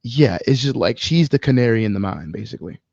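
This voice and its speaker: A man's voice: medium pitch, lively intonation, fast, vocal fry, darkish tone, dry recording, dull audio. A man co-hosting a casual podcast chat about movies, speaking frankly in informal slang with some swearing. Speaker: podcast co-host.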